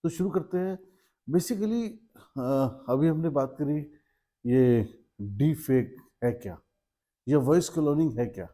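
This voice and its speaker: A man's voice: fairly high pitch, lively intonation, slow, long pauses, warm tone. A man giving an instructive, explanatory awareness talk, mixing Hindi with English terms and listing his points. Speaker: presenter.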